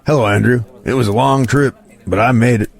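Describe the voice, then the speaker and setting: A man playing a steady, rugged scout in an audio drama, speaking in a measured, matter-of-fact way. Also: medium pitch, gravelly. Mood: weary, resolute.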